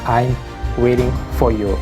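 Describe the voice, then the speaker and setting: Non-native man over clear background music. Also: mid-range voice, little pitch movement, unhurried. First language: not English. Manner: simple, earnest phrasing. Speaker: channel host. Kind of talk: scripted channel promo.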